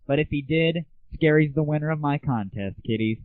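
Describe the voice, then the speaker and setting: A man's voice: on the high side, a little fast, dry recording. Young man hosting over tinny, phone-like audio. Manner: irreverent, sarcastic, slangy. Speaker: internet radio show host. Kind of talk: casual gaming monologue. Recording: tinny, phone-like 1990s internet audio, roomless.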